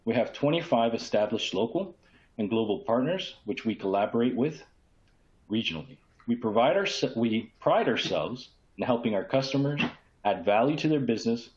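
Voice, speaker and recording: A man's voice: mid-range voice, darkish tone. A man hosting a business webinar as a presenter. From San Juan, Puerto Rico. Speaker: host, key account manager. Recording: roomy echo, dull muffled sound.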